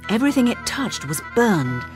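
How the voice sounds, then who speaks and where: A woman narrating a children's read-along story in an expressive way. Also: medium pitch, normal speed, over music.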